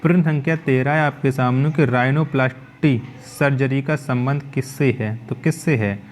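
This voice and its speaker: A man's voice: medium pitch, fairly even intonation, measured pace, faint music behind. A male teacher reading out exam quiz questions, then repeating each question and its answer back to the viewer.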